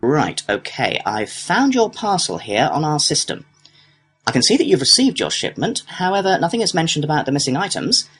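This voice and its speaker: A man acting as a courteous male customer-service clerk. His voice is husky and rises and falls a lot as he works helpfully through a scripted procedure. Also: a little high, sing-song, moderate pace, fairly bright tone, exaggerated voice. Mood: courteous, businesslike. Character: courteous, helpful complaints clerk at an antiques company. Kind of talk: scripted listening-test dialogue.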